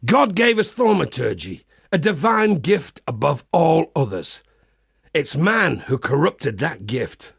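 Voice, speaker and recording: A man voicing a zealous, wry templar priest in a video game, with a gravelly, raspy voice and a preachy, theatrical delivery. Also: on the high side, sing-song, slow pace, fairly bright tone, dry recording, clean studio sound, heavily acted. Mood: confiding, earnest. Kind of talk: video game character voice acting.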